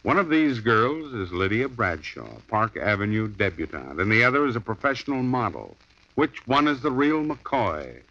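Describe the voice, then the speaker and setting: An actor playing a tough private detective with dry, laconic wisecracks in a 1950s radio drama. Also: male voice, on the low side, sing-song, moderate pace, sharp tone, exaggerated voice. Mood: accusing. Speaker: lead detective. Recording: dull old radio broadcast recording.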